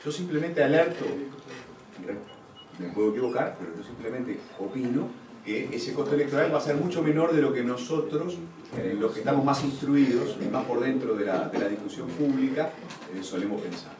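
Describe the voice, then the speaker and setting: Male Uruguayan panelist, rhetorical question-and-answer delivery, mid pitch, noisy room sound. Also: expressive, a little fast. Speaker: political scientist panelist. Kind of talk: extemporaneous answers at a public forum. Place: lecture hall at a business school. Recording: echoey room, distant mic, noisy.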